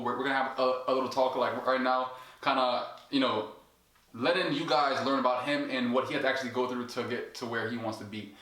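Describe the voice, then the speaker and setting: A man's voice: mid-range voice, a bit bright. Casual filler-heavy male host. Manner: casual, filler-heavy, lots of "like" and "you know". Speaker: trading coach and host. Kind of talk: casual interview chat. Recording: echoey room.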